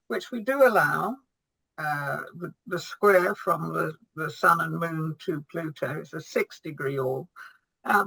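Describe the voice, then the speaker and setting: A woman astrologer fields questions on a video call, halting and full of ums. Her delivery is slow and unhurried, with a bright, forceful tone. The sound is dry and close. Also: fairly low pitch, creaky, no room sound, rough recording. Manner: hesitant, with many ums and restarts. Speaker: guest astrologer.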